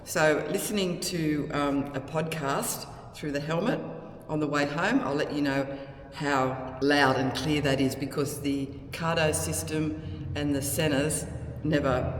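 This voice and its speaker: A woman's voice: sits low, steady tone, unhurried. A middle-aged woman with an Australian accent chats candidly and casually in a rambling, conversational vlog style. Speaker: motorcycle vlogger. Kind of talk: casual vlog chat to camera. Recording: loud wind, engine and road noise.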